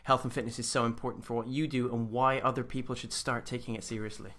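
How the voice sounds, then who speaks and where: A man's voice: medium pitch, little pitch movement, fast, fairly bright tone. A man in his thirties hosting an interview show, chatting casually and giving upbeat, motivational talk aimed at viewers. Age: 30s. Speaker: podcast host.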